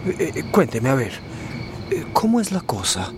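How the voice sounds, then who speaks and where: A man's voice: fairly high pitch, huge pitch swings, moderate pace. An actor playing a kindly, distracted working man in a radio drama, hesitant and stammering. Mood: concerned, hesitant. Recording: clear ambient noise.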